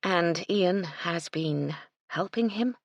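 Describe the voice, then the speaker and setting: A woman's voice: on the low side, slow pace, no echo, muffled recording. A woman voicing a composed, kindly but firm English lady in charge in a story. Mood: calm, probing.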